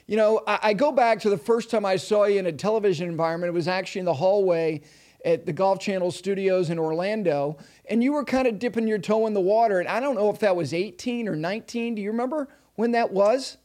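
High-pitched male interview host, emphatic delivery, halting restarts. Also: a little mellow. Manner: emphatic, halting restarts when asking questions. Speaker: podcast host. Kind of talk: interview hosting.

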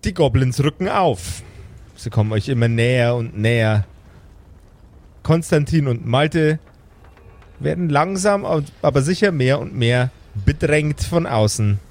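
A man's voice: medium pitch, expressive, a little slow, a little mellow. A man narrating an improvised tabletop game as game master and host. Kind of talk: live improvised tabletop narration and casual hosting.

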